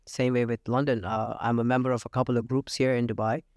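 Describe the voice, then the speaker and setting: A gravelly-voiced man from India speaks as a podcast guest, confident and matter-of-fact, pausing often on "uh." The sound is dry and close, with no room echo. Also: medium pitch, little pitch movement, darkish tone. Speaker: real estate agent, podcast guest. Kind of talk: casual interview talk. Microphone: podcast studio mic.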